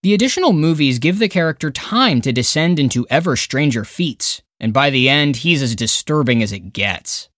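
Animated man narrating a scripted countdown. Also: a little high, moderate pace, few pauses, no echo, studio quality. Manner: opinionated, emphatic, dramatic phrasing. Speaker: podcast narrator and film critic.

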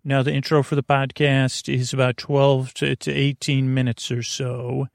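A man with a creaky voice hosts a sleep podcast, rambling soothingly in hushed golf-commentator tones, haltingly meandering and over-explaining. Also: mid-range voice, little pitch movement, no room sound, studio quality. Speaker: host of a bedtime sleep podcast.

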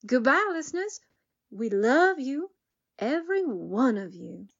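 A woman's voice: sits high, sing-song, a little slow, vocal fry. A woman podcast cast member addressing listeners from a script, on a slightly muffled recording.

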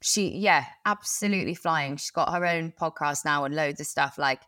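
A British woman co-hosting a podcast, chatting in a lively, casual way. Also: deep voice, normal speed, no room sound, studio quality. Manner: chatty, animated. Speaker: podcast co-host. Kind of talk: casual chat.

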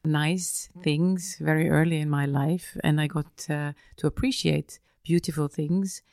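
Low husky-fry austrian woman, middle-aged, lots of uhs and restarts in an interview. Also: fairly even intonation, measured pace, darkish tone, no echo, clean studio sound. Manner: hesitant, many fillers and restarts. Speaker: interview guest, business executive. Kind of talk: casual interview conversation.

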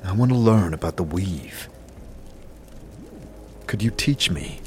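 A man's voice: low voice, lively intonation, slow, a little mellow, slight background noise. A man playing a brooding, determined wanderer in an audio drama, terse and earnest. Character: brooding, determined male wanderer seeking power.

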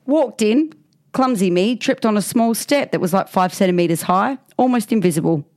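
A woman's voice: mid-range voice, expressive, moderate pace, a little mellow. A woman co-hosting a chat podcast, reading out stories with frequent laughter and acting out bouncers. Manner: laughs often, acts out bouncers in gruff quotes. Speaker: podcast co-host.

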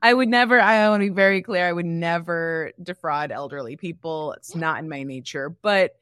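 A woman's voice: on the low side, moderate pace, a bit bright, clear, resonant tone, no echo. A woman co-hosting a podcast in casual comedic chat, with a playful, teasing, wry manner. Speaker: podcast co-host.

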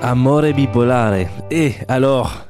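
A man's voice: medium pitch, slow pace, over music. A man hosting a live radio show, chatting casually with plenty of fillers, restarts and self-mocking jokes. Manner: hesitant, many fillers and restarts. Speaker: radio host.

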